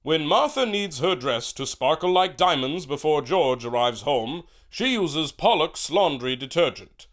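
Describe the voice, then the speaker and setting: A man's voice: a little high, expressive, unhurried, few pauses, a bit bright. A man playing an earnest, formal announcer in a 1930s-style radio serial, with a dramatic salesman's delivery. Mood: theatrical, selling. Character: earnest old-time radio announcer reading adverts and bulletins.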